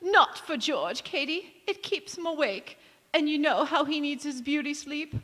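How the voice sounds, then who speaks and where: A woman's voice: high voice, expressive, unhurried, fairly bright tone. A woman playing a doting, condescending older mother-in-law in 1940s radio comedy, cooing and mock-polite. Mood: haughty. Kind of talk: 1940s radio comedy acting, staged revival. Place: community theatre stage in a church. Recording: echoey hall, long reverb.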